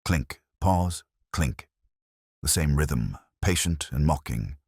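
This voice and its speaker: A man narrates a Victorian mystery in a literary, dramatic style. His voice is very deep and rough-edged, with lively, expressive movement in pitch, heard dry and free of room sound. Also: slow, dry recording.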